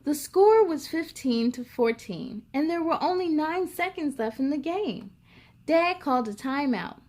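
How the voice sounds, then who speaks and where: A woman's voice: sits high, lively intonation, measured pace, a little mellow. A woman hosting a read-aloud for young children, telling picture-book stories expressively and speaking straight to her listeners.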